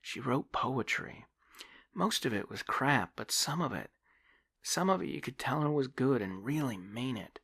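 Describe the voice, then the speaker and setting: A man voicing a bitter young woman from the Deep South with a smoky voice and blunt, cursing talk. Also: expressive, a little slow, gravelly, fairly bright tone, slightly rough, no room sound, clean studio sound, heavily acted. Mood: wistful. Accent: Deep South American. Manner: tough and blunt, swears.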